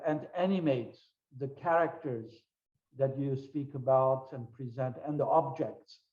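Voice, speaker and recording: A man from Lebanon leads a formal book conversation at a very slow, careful pace. His voice sits slightly high, and the video call audio comes through muffled and lo-fi. He pauses often between phrases. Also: a little mellow, echoey room. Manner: measured, deliberate, with frequent pauses and uh fillers. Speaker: discussion moderator.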